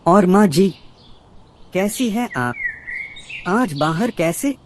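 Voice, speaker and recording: A man voicing a talkative, polite male crow who brings news in a cartoon, with an animated, respectful manner. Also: high voice, takes it slowly, background noise. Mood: chatty, informative. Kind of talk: Hindi cartoon character acting.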